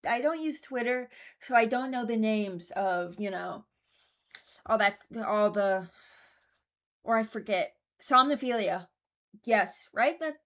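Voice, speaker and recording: Female YouTuber for casual ranking commentary; frank, rambling, hesitant, mock-serious. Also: a little high. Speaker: YouTuber ranking game voice lines.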